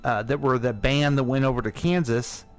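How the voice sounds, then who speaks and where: A man's voice: mid-range voice, steady tone, normal speed, faint music behind. A man hosting a radio chat in a folksy, talkative way, speaking to listeners as folks. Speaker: radio host.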